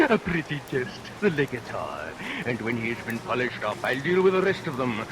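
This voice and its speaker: An actor playing a scheming, murderous villain barber in a vintage radio melodrama, declaiming theatrically and relishing each threat. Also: male voice, mid-range voice, big pitch swings, a little slow. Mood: gloating, scheming. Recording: vintage radio recording under rain noise.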